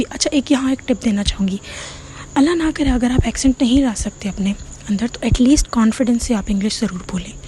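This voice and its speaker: A woman's voice: fairly high pitch, mellow, a little hiss or hum, poor audio. Chatty Pakistani woman giving English tips. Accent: Pakistani Urdu. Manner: chatty, often asks "theek hai?" to check in, mixes in English words. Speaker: online English teacher. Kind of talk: casual advice talk to viewers.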